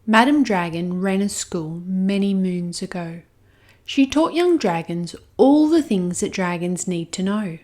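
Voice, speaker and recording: A woman reading a rhyming children's picture book aloud, expressive and even. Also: mid-range voice. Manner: slow, expressive rhymed reading. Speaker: storyteller.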